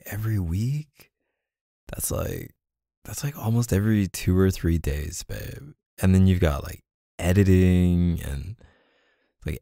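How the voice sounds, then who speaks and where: A man's voice: low voice, gravelly, warm tone, no room sound, clean studio sound, heavily acted. A man playing a laid-back, apologetic boyfriend in an intimate audio roleplay, talking casually and hesitantly with coaxing pet names. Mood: defensive, pleading. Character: laid-back, apologetic young boyfriend soothing his neglected partner. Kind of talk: boyfriend ASMR roleplay, casual intimate one-sided dialogue.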